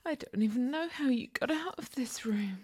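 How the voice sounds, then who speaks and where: A woman voicing a possessive, sadistic dominant woman in an audio roleplay, whispering breathily with sighs and low laughs. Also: lively intonation, moderate pace, slightly rough. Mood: mocking, teasing.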